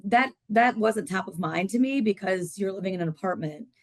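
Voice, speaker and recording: A woman's voice: medium pitch, expressive, brisk, no room sound, clean studio sound. A woman hosting an interview in a conversational style, putting questions to a guest. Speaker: host interviewer.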